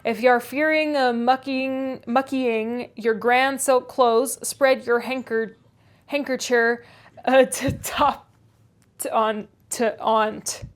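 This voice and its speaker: A woman's voice: fairly high pitch, fairly even intonation, slow pace. A woman voicing a grumbling, sneering old male servant, drawling a thick rustic dialect in a storybook reading. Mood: mocking.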